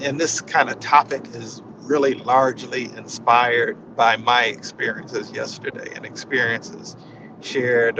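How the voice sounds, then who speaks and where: A man with a mid-pitched voice gives an informal inspirational talk at a slow pace. He is reflective and halting, circling back to repeat phrases. Clear ambient noise, like that of a vehicle, sits behind his voice. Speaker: spiritual session leader.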